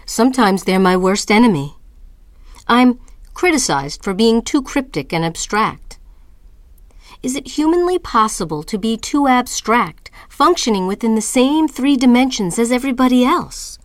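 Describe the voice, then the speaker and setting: A woman playing an anguished, defiant writer in a theatrical audio-drama monologue, speaking deliberately and dramatically. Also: a little high, animated, no echo, clean studio sound. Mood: indignant, wry. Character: anguished, defiant woman writer defending her identity and work.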